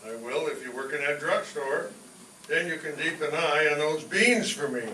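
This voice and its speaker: A man acts out a bossy, scheming radio comedy father who keeps muttering numbers as he counts. His voice sits fairly high, heard from a distance in an echoey room. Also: normal speed, sharp tone. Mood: scheming, insistent. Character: bean-obsessed father, eager, bossy, scheming. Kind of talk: old-time radio comedy acting. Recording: echoey distant live stage, noisy room.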